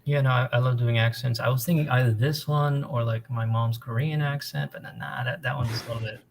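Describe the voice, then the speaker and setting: A man's voice: mid-range voice, steady tone, a little fast, creaky, noisy background. A man calling in to a live show, talking casually in a fake accent he puts on to hide his identity. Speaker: caller. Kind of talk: casual call-in chat. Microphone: laptop mic over a call.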